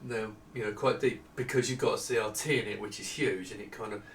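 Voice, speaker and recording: A middle-aged man giving a casual, unscripted product walkthrough in a halting, conversational manner. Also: fairly low pitch, fairly even intonation. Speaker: product designer presenting his monitors. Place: small home office indoors with studio lighting. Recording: echoey room, distant mic, faint noise.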